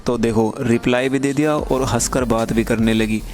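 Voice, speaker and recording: Young man from uttar pradesh, chatty youtuber tone, flat even delivery, faint music behind. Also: mid-range voice, moderate pace. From Uttar Pradesh, India. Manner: chatty, talks straight to viewers. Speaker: YouTuber giving chat tips. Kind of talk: casual screen-recording walkthrough.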